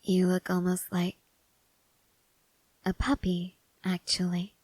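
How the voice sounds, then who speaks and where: A woman acts out a stoic young woman soldier who is quietly devoted to her mate. Her voice is breathy and often slips toward a whisper, with slow, hesitant phrasing. It is an intimate ASMR roleplay, recorded close and dry. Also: on the low side, completely flat delivery, dry recording. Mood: tender, quiet. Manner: hushed, hesitant, gently reserved.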